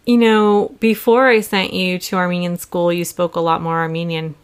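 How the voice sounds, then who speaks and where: A middle-aged woman hosting a podcast, talking candidly and gently with her daughter, apologetic and coaxing. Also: lowish voice, level tone, normal speed, clean tone. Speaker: podcast host and mother.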